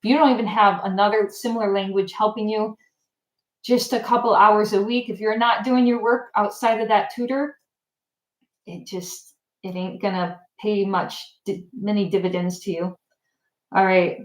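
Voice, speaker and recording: A woman hosting a casual livestream, chatting with viewers and answering their comments in a relaxed, filler-heavy way. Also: medium pitch, moderate pace. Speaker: channel host. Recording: echoey room.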